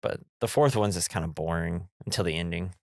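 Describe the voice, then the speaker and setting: A young man with a cold, his voice nasal and a little low, chatting loosely about a movie on a podcast. He rambles, laughs, and acts out jump scares. The sound is dry and close to the mic. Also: a little fast, vocal fry, no room sound. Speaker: podcast co-host. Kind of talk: casual movie podcast chat.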